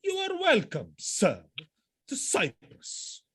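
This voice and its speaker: Man acting a husky, volatile jealous husband. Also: very high-pitched, wildly swooping pitch, slow, heavily acted. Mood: strained formality. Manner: theatrical, volatile, swinging from hushed to shouting. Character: jealous enraged noble husband and military commander. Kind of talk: Shakespearean audio drama acting.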